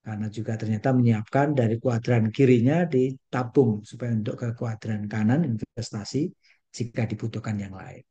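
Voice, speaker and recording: An older man lectures over a video call in a mellow, relaxed voice. He talks in a folksy way, tossing in English phrases and playful rhymes. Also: mid-range voice, moderate pace, dull audio. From Indonesia. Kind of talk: online lecture.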